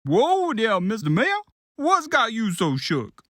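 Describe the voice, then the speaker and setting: A woman's voice: on the high side, wildly swooping pitch, takes it slowly, no room sound, clean studio sound, full character voice. A woman voicing a boastful male blacksmith with a swaggering drawl.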